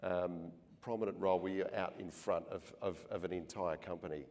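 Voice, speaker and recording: A man hosting an on-stage interview, asking questions in a relaxed, conversational way with many fillers. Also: low voice, moderate pace. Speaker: event host interviewer. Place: large function hall. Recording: long hall reverb.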